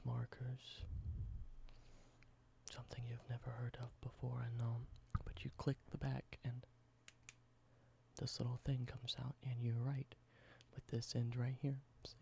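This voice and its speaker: A young man doing a soft, halting ASMR whisper, slowly explaining everyday objects in simple words. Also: medium pitch, creaky, slight background noise. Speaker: ASMR creator.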